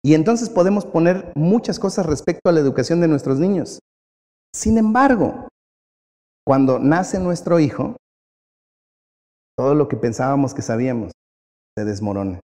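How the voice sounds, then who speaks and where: A man with a fairly high but mellow voice preaches in a relaxed, conversational way. He repeats phrases to drive them home. The sound rings with the long reverb of a church hall. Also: normal speed, long pauses. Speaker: pastor. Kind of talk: sermon.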